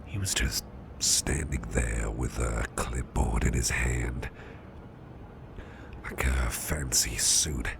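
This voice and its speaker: A man playing a gruff but caring, protective boss in an ASMR roleplay, speaking in a gravelly half-whisper and rambling softly and hesitantly to the listener. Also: rumbling low, takes it slowly, pauses often, full character voice. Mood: musing, conspiratorial. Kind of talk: ASMR roleplay, soft intimate storytelling to the listener. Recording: clearly noisy background hiss or ambience.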